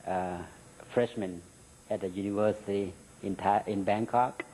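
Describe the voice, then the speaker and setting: A middle-aged man with a Thai accent, Thai his first language, answering interview questions in halting English with many fillers. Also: fairly low pitch, steady tone, warm tone, slight background noise, low-quality recording. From Thailand. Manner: halting, many "uh" and "you know" fillers, laughs between answers. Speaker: interview guest. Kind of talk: recorded interview.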